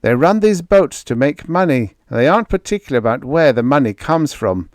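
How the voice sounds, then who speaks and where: A man reading an audiobook, voicing a big, hearty spaceman in his forties with a booming, blunt, slangy way of talking. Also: big pitch swings, brisk, no room sound, clean studio sound, lightly acted. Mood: matter-of-fact. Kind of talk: audiobook character voice.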